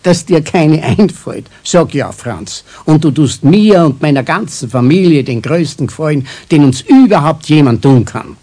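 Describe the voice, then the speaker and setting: Higher-voiced Bavarian grandfather, persuasive. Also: expressive, normal speed, few pauses, dark tone. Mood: coaxing. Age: 60s. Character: jovial, persuasive grandfather nearing retirement. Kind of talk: 1970s children's radio play acting.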